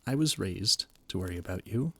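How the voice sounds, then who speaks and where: A man's voice: low-pitched, expressive, normal speed, pushed and bright, slightly rough. A man voice-acting a flustered, formal royal adviser in an audio roleplay, often stammering. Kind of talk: audio roleplay, scripted monologue.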